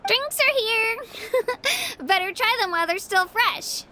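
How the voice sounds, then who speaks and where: An earnest, very high-pitched little girl. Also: expressive, bright, forceful tone, a little hiss or hum, exaggerated voice. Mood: cheerful, eager. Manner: halting, tearful and earnest. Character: anxious, earnest little girl fighter, sweet and determined. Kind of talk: video game voice acting.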